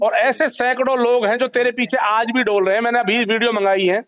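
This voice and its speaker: A man's voice: extremely high pitch, flat delivery, fairly quick, clean tone. A man speaking insistently and moralising in a confrontational phone conversation, holding the floor. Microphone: phone line. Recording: narrow tinny phone call recording.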